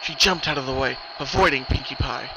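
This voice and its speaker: A man with a mid-pitched voice narrates a horror story in a dramatic reading. His delivery is scripted narration. Clear noise runs behind his voice. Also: brisk. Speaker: narrator of horror fan fiction. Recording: noisy recording, rumbling ambient background.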